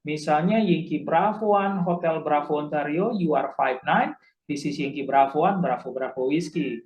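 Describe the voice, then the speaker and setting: Higher-pitched man, tutorial delivery. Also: darkish tone, muffled recording. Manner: instructive, halting, repeating key points. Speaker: amateur radio instructor. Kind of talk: informal spoken tutorial.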